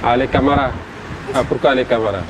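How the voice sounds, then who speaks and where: A man with a Senegalese French accent, a festival promoter describing his event in an interview, halting and repeating words as he goes. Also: mid-range voice, fairly even intonation, a crowd in the background. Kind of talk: interview answers. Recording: noisy background.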